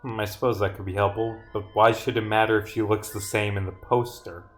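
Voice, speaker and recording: A man live-streaming his own game, reading dialogue aloud and rambling as he thinks out loud, with some swearing. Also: on the low side, flat delivery, brisk, faint music behind.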